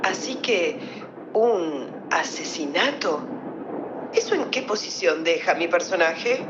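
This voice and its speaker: A woman's voice: fairly low pitch, lively intonation, slow. An actress playing a genteel, self-regarding older society lady in a radio play, with an affected, grand manner. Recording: roomy, muffled, noisy recording.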